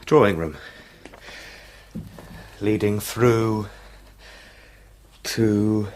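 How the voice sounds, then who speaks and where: An actor playing a rattled young solicitor in a radio drama, earnest, precise and anxious. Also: male voice, on the low side, drawn-out words, darkish tone, slight background noise. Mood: self-composing. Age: young adult. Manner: earnest, precise, talking to himself.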